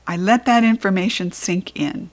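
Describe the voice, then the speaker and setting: A woman's voice: medium pitch, moderate pace. A woman with an American accent narrating a children's book expressively as a storybook reader.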